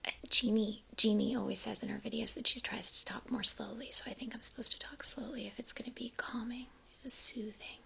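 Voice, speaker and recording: A woman whispering softly through a livestream in a quiet home room, chatty and a little self-conscious, speaking into a cheap microphone while holding her phone. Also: fairly high pitch, fairly even intonation, brisk, creaky, slightly rough. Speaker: livestream host. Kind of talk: whispered ASMR-style livestream chat. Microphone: cheap USB microphone, phone held in hand.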